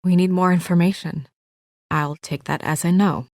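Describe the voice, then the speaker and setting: A woman's voice: on the low side, fairly even intonation, normal speed, darkish tone, no echo, clean studio sound, close to an everyday voice. A woman voicing an anxious, businesslike young female official in a video game, speaking in an earnest, guarded way with naturalistic delivery. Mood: anxious, urgent.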